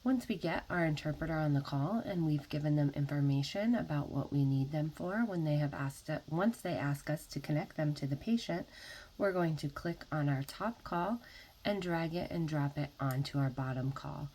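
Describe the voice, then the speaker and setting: A woman narrating an instructional software tutorial as a trainer, explaining methodically one step at a time. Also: deep voice, moderate pace, slight background noise, poor audio.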